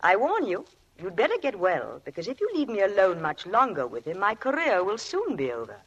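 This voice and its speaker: A woman's voice: medium pitch, wildly swooping pitch, a little fast, breathy edge, dull audio, exaggerated voice. An actress playing a worldly, self-assured lady crime novelist in a vintage radio drama, arch and teasing. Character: worldly, self-assured woman mystery writer.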